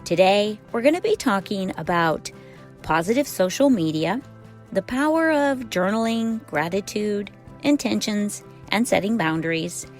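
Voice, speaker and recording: A woman host delivering a scripted podcast introduction in an upbeat, even way. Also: mid-range voice, measured pace, faint music behind.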